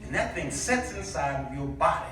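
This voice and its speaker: A man preaching an impassioned sermon, exhorting his listeners with pauses and rhetorical questions. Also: on the high side, expressive, a crowd in the background. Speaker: guest preacher. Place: large echoey indoor church with congregation. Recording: echoey church, distant mic, congregation responding.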